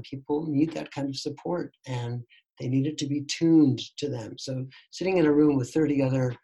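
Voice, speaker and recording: A man's voice: mid-range voice, mellow, slightly rough, poor audio. A man being interviewed as a neuroscience expert, explaining thoughtfully and restarting his sentences often. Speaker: neuroscientist guest. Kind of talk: conversational interview answers.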